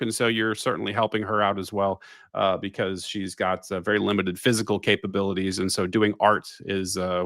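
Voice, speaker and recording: A man who is a guest on a podcast, talking quickly in a laid-back, stammering way. His voice is fairly low. The sound is dry and close. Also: fairly bright tone, no echo. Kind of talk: casual chat.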